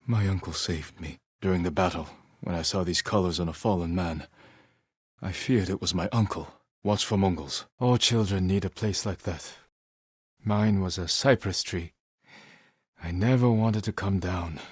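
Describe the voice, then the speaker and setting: Low-voiced, stoic samurai. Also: male voice, moderate pace, slightly rough, dry recording, studio quality. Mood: solemn, resolute. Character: stoic, honorable young samurai warrior, grave and determined. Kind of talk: dramatic video game voice acting.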